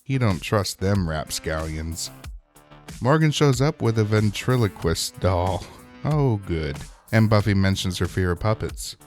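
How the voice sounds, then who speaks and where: Male recap host, lowish voice, wry and colloquial, casual narration over faint music. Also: animated, measured pace. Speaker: host of a TV episode recap show.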